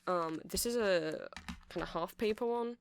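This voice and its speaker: A woman's voice: mid-range voice, measured pace, gravelly, a little hiss or hum. A woman giving a rambling, chatty ASMR demonstration, explaining things step by step. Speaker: ASMR creator.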